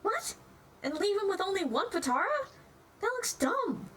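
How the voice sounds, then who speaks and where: A woman's voice: extremely high pitch, very animated, normal speed, pitched above the performer's own voice. A woman voicing a fussy, exacting arena official with a formal, disapproving manner in an amateur dramatic reading. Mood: exasperated.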